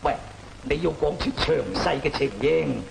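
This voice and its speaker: An actor playing a stern imperial magistrate in an old opera film, declaiming half in chant with drawn-out syllables. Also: male voice, a little high, creaky, slight background noise, low-quality recording. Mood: deliberating. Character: stern, deliberating imperial magistrate presiding in court. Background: music.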